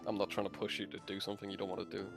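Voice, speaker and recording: A man's voice: low-pitched, monotone, very fast, vocal fry. A man chatting casually on a stream, joking, swearing and laughing often. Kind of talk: casual chat. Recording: faint music behind.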